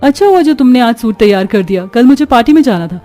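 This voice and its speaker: A woman's voice: fairly high pitch, expressive, fast, warm tone, lightly acted. A woman voicing a stingy, snobbish rich young housewife in a cartoon, dismissive and full of excuses. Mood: curt, irritated. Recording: music behind the voice.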